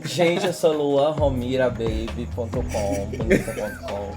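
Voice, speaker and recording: A man's voice: mid-range voice, lively intonation, unhurried, loud music behind the voice. A man, introducing himself on a podcast in a campy, theatrical, joking way. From Bahia, Brazil. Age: 20s. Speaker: teacher, podcast member. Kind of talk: casual chat among friends.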